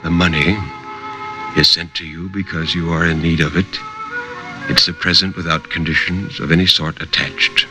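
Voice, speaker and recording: Man voicing a low, secretive, measured messenger. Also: steady tone, music in the background, close to an everyday voice. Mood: impatient, businesslike. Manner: low, slow, measured, evasive. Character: secretive, crab-like messenger man, flat, terse, impassive.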